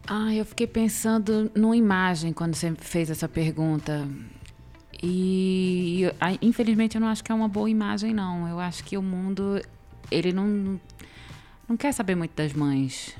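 A woman's voice: medium pitch, normal speed, darkish tone, soft background music. A woman with a Brasília accent, talking reflectively as a guest on a podcast. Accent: Brazilian, Brasília. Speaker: podcast guest, parenting educator. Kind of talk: reflective conversation.

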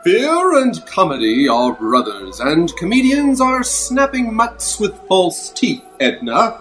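A self-important movie heartthrob in an old-time radio melodrama. He is theatrical and grave about his secret shame, with a lively, swinging pitch. Faint music plays underneath. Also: takes it slowly, full character voice, rough recording. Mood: suave. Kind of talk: improvised old-time radio drama acting.

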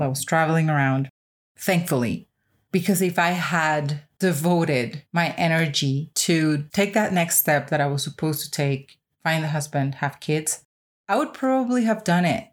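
Female podcast host, lower-register voice, reflective first-person delivery, emphatic with deliberate repetition. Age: 30s or older.

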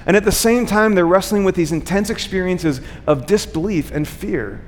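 Higher-voiced male preacher in a reverberant hall. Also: normal speed, a little hiss or hum. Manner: rhetorical questions, repeated phrases for emphasis, frequent "right?" check-ins. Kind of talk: conversational sermon to a congregation.

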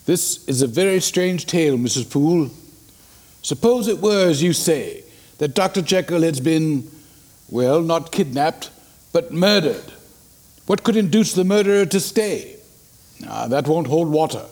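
A man playing a sober, sceptical Victorian gentleman in an audio drama, with a measured, formal, questioning manner. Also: a little high, lively intonation. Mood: sceptical, probing. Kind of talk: dramatic audio play acting.

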